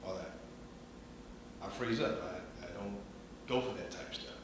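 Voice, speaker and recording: A middle-aged man in the audience speaks earnestly and pointedly to a panel, pausing often. His voice sits fairly low. It rings through a very echoey hall over a faint hiss. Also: far from the mic. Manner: earnest, pointed, with long pauses. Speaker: audience member asking a question. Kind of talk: question from the floor.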